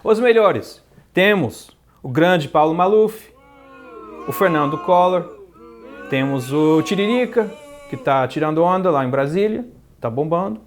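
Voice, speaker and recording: A man's voice: a little high, expressive, measured pace. A man playing a smug, boastful gringo posing as a politician in a comedy sketch, speaking with an American accent. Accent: American English. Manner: smug, brags, crude innuendo. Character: boastful fake Trump, later a scheming rival gringo.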